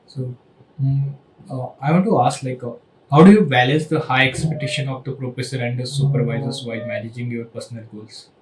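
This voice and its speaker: Young guy hosting a podcast, quiet hesitant questions, lots of uh and like, echoey room. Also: mid-range voice, fairly even intonation, a little fast, slight background noise. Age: 20s. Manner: soft-spoken, hesitant questions with fillers. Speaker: podcast host. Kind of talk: podcast interview. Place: indoor studio room with shelves. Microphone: desk podcast microphone.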